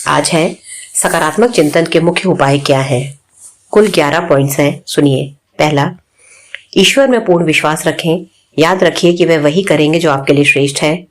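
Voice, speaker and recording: A woman's voice: sits low, normal speed. A woman reading a spiritual self-help book aloud in a plain, instructive manner. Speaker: audiobook reader.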